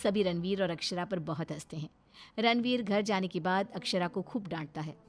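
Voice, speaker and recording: A woman's voice: on the low side, normal speed, faint music behind. A woman reading scripted narration for a cartoon story, as its storyteller.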